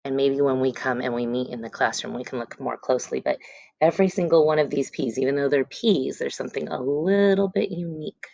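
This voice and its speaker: A woman's voice: extremely low pitch, fairly quick, creaky, dark tone, no echo, highs cut off. A woman teacher reading a picture book aloud to young children, stressing words and letter sounds and adding short explanations. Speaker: elementary school teacher reading aloud to class.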